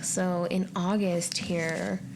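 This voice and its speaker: A woman's voice: lowish voice, little pitch movement, slow pace, vocal fry, noisy background. A woman giving an unscripted tarot forecast straight to the viewer, musing aloud in a tentative way as she interprets each card. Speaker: tarot reader and channel host.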